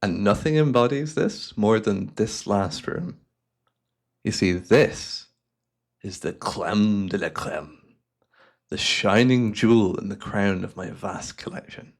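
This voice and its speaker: Male actor as cunning gentleman hypnotist, lowish voice, heavy vocal fry, florid and theatrical, softly coaxing, fantasy hypnosis audio roleplay. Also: slow pace. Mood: proud, musing. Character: devious, cultured male hypnotist collector, silkily courteous.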